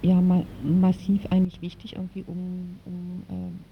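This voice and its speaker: A woman being interviewed, explaining things informally and colloquially, often halting to search for words. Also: lowish voice, monotone, creaky, a little hiss or hum. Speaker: interviewee knowledgeable on the subject. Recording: dull lo-fi interview tape.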